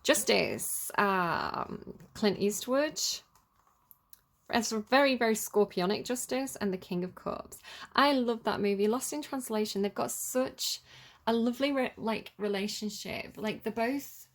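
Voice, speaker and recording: A woman's voice: a little high, measured pace. A woman with a Manchester accent giving a casual, chatty tarot reading to viewers, informal and hesitant as she thinks aloud. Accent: Manchester English. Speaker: tarot reader, channel host.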